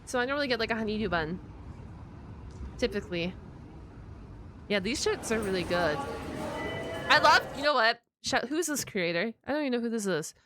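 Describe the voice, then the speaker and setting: Casting: female livestreamer, lively mid-pitched voice, exclamatory and sweary, off-the-cuff reaction chat over clear background noise. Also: moderate pace, long pauses. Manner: excitable, exclamatory, slangy and sweary. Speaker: streamer reacting to a video.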